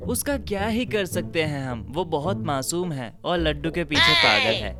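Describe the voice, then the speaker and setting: A man acts as a young hero in a children's cartoon story. He talks in a conversational way, concerned and exasperated. Music plays clearly behind the voice. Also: on the high side, very animated, normal speed. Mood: resigned. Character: young male magical friend, concerned and exasperated.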